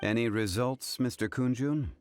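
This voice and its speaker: A man playing a calm, dignified scholarly gentleman with formal, measured phrasing in an English game dub. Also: medium pitch, slight background noise, lightly acted. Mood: courteous, composed. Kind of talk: English video game voice acting.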